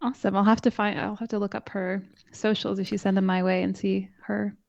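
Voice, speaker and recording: Woman, soft voice, calm, very even pitch, slightly dull sound. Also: vocal fry, dry recording. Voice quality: soft-spoken. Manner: calm, measured, soothing. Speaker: podcast host and guest. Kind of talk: casual interview chat.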